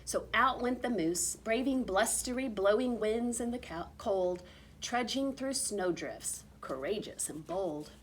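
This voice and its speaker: Female storybook reader, unhurried and highly varied in pitch, with theatrical emphasis. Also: expressive, a little hiss or hum. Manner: theatrical, drawn-out emphasis on repeated words. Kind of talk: expressive picture-book read-aloud.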